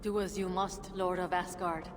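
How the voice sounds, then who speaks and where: A woman's voice: on the low side, fairly even intonation, normal speed, lightly acted. A woman playing a weary, bitter dwarf leader in a video game, guarded, sardonic and solemn. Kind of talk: dramatic video game voice acting. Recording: long reverberant hall, noisy ambience.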